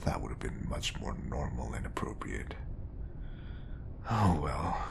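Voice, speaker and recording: A man's voice: animated, unhurried, noisy background, full character voice. A man playing an obsessive, lovestruck bodyguard in an audio roleplay, coaxing and intimate, passing devotion off as professionalism. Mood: sheepish. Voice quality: low, creaky. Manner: coaxing, intimate, slipping into whispers. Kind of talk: audio roleplay acting addressed to the listener.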